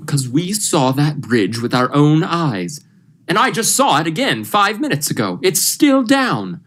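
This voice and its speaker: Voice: medium pitch, very animated, measured pace, fairly bright tone. A young performer playing an urgent, commanding male doctor in an old-style radio thriller, emphatic and melodramatic. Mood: indignant, forceful. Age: young adult. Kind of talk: 1940s radio thriller acting.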